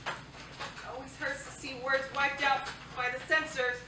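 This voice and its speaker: A woman performs a devout young woman, devoted to her soldier, in a high voice. It is a staged play reading, picked up from a distance in a noisy theatre. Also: level tone, a little slow, echoey room. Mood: wistful.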